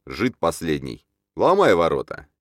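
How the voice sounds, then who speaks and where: A male reader puts on a drunken, bullying newspaper boss for a comic audiobook. He is gruff and curt, barking with authority, his pitch lurching widely in a dry, close recording. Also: wildly swooping pitch, measured pace, no echo, heavily acted. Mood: stern.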